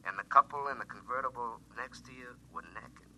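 An actor playing a scheming, insistent future self in a classic radio drama, explaining emphatically through a telephone filter. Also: male voice, medium pitch, brisk, pushed and bright, slight background noise. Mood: confiding. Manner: emphatic, explanatory, repeating himself. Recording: tinny, band-limited telephone line.

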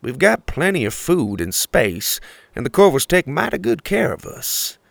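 A steady, kindly horse who speaks in a calm, reassuring tone, as a man plays him. Close and dry. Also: a little high, moderate pace, creaky, dry recording, pitched above the performer's own voice, full character voice.

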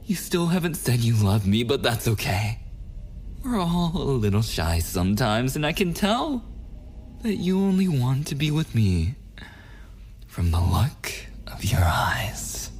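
A man's voice: mid-range voice, big pitch swings, darkish tone, faint music behind. A man playing a possessive, unhinged, murderous kidnapper boyfriend in an ASMR audio roleplay, menacing and often slipping into whispers. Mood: adoring, possessive. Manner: slow, drawn-out menace slipping into whispers and giggles.

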